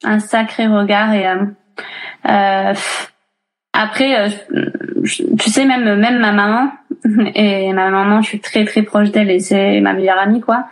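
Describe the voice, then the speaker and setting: A woman's voice: mid-range voice, steady tone, a little slow, rough recording. A woman in her thirties talking casually in an interview, chatty and full of fillers and restarts. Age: 30s. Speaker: interviewee, single mother. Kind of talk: casual chat.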